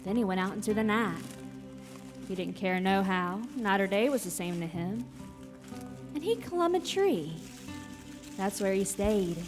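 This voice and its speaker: Female stage storyteller, mid-pitched, rustic and dramatic horror narration at a slow pace, over music. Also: lively intonation. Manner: dramatic, rustic dialect, drawn-out phrasing. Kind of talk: theatrical folksy horror narration, live show. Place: live stage in a recreation center.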